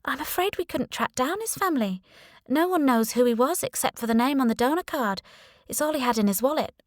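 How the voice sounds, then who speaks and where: A woman's voice: high voice, lively intonation, a little fast, no echo, clean studio sound, voice pushed up. A woman voicing a short, mumsy nurse in an audiobook, kindly and confiding, with a soothing, motherly manner. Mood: apologetic.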